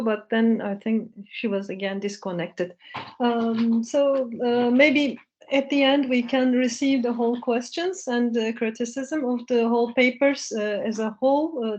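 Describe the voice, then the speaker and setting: A woman's voice: a little high, a little mellow. A woman moderating an academic symposium session in a polite, tentative way, heard over a lo-fi online call. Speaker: session chair. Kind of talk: informal spoken moderating of a symposium.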